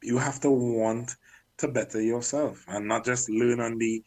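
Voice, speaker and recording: A man working as a music manager, chatting candidly in an interview and often clearing his throat. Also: medium pitch, fairly even intonation, measured pace, a little mellow. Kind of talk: casual interview talk.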